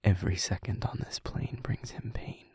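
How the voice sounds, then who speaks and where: A man playing an exhausted, gentle protector who talks in a soft, breathy, slow whisper. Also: no room sound, lightly acted. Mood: tired. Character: weary, gentle male protector, calm. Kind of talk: audio roleplay acting.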